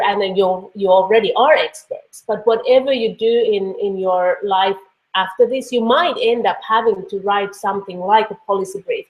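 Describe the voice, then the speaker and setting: A woman's voice: mid-range voice, muffled recording. Finnish-accented woman lecturing in an echoey room. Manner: conversational, many fillers and restarts. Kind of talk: online course lecture. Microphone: computer mic on a video call.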